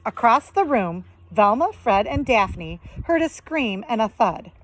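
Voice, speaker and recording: Animated woman reading a children's story slowly. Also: a little high, low-quality recording. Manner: deliberate, expressive. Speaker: second grade teacher reading aloud.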